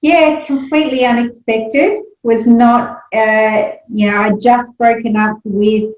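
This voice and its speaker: A woman's voice: fairly high pitch, takes it slowly. Australian woman on an echoey, muffled call. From Sri Lanka. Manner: relaxed, laughs easily, acts out her own past thoughts. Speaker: guest. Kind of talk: casual interview chat. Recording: echoey, dull video call audio.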